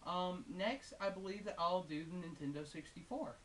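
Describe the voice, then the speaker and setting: A man's voice: high-pitched, moderate pace, a bit bright. A man giving a casual, unscripted video game review in a rambling, hesitant but amused and enthusiastic way. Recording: hissy, noisy home recording.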